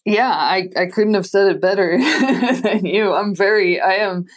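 A woman's voice: mid-range voice, measured pace. A middle-aged woman from Belgium, a native Dutch speaker with a Belgian Dutch accent, answering interview questions thoughtfully with frequent restarts. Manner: thoughtful, many restarts and fillers. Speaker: scientist guest.

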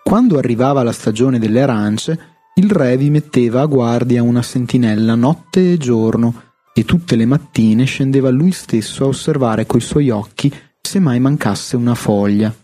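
A man reads a classic fairy tale aloud with a steady storytelling delivery. His voice is dark and mellow, and soft music plays faintly underneath. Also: medium pitch, normal speed, breathy edge. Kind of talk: storybook reading.

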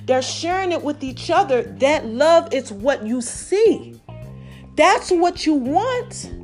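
A woman with a slightly raspy voice, chatting casually and preaching to her followers, calling herself Mama. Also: high-pitched, slow, faint music behind. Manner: animated, calls herself Mama, addresses viewers as y'all. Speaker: online commentator.

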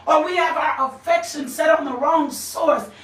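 A woman's voice: sits high, moderate pace, a bit bright. A woman preaching a fervent, exhorting sermon with scripture, emphatic and fond of repeated refrains. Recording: echoey room, slightly distant mic.

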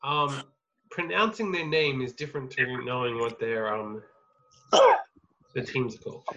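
A man's voice: mid-range voice, a little slow, bright, forceful tone. A man hosting a casual podcast, swearing freely and laughing as he reads out betting odds. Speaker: podcast host taking bets. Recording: dull lo-fi sound with faint noise.

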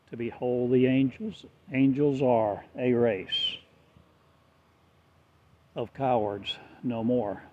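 Man intoning prophetic verses over a tinny line. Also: medium pitch. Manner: incantatory, repetitive, swelling and fading in loudness. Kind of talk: prophetic declamation. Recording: tinny phone-like audio with faint noise.

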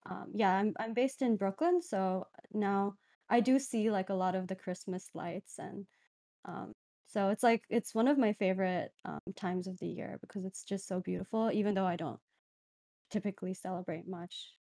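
A woman from Dubai chatting as a podcast guest, low-key and hesitant, with many ums. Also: a little mellow, dry recording, clean studio sound. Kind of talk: casual chat.

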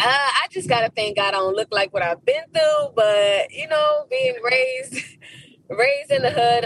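An American woman from Miami speaking as a podcast guest in a casual interview, telling stories in an animated, emphatic, slangy way. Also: medium pitch, a little hiss or hum, rough recording. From Miami, United States.

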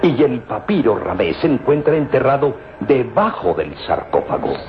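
An actor playing an earnest, anxious scientist father in a radio serial, with a dramatic, halting delivery that repeats words. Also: mid-range voice, sing-song, highs cut off. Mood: urgent, hopeful. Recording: noisy, band-limited old radio drama recording.

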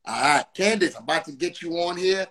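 A man from Brooklyn runs a live interview stream with a voice pitched a bit high. He talks with a lot of energy and keeps hyping up the viewers. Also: fairly quick. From Brooklyn, New York. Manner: energetic, hypes the audience. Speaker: livestream interview host. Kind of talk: hyped live-show hosting.

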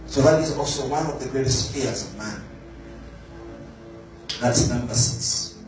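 Male preacher, measured emphatic delivery; distant mic in a very reverberant room. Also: mid-range voice. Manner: slow, emphatic, repeats key words. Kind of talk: sermon. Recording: very echoey room, voice from afar, faint music.

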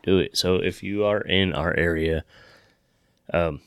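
A man's voice: low voice, fairly even intonation, measured pace, clean tone, no room sound, clean studio sound. A man hosting a podcast, chatting casually and making show announcements. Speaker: podcast host.

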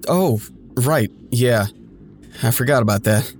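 A man's voice: medium pitch, takes it slowly, slight background noise, lightly acted. An actor playing an awkward, scheming man in an audio drama, talking to an old friend in a hesitant, stammering, over-eager way. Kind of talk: naturalistic audio drama dialogue.

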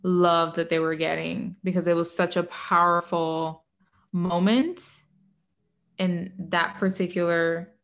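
Podcast co-host, female, from Puerto Rico; chatty, laughs a lot, slightly low voice. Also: steady tone, slow pace, creaky. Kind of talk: casual chat.

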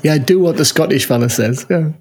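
A man's voice: a little high, fairly quick, a little mellow, slight background noise. A man co-hosting a maker podcast in casual chat, laughing often and tagging his remarks with "huh?". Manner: chatty, laughs often, tags lines with "huh?". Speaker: podcast co-host.

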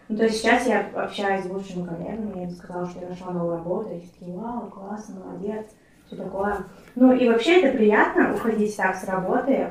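A woman's voice: mid-range voice, darkish tone. A young woman chatting casually as a podcast guest and sharing personal experience. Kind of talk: casual chat, personal anecdote. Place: friend's home, indoors. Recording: very echoey room, distant mic, faint noise.

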